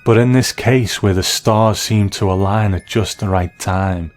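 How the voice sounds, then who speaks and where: A man hosting a scripted TV review, speaking in measured, reflective, deliberate phrases. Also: on the low side, fairly even intonation, darkish tone. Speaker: television review host.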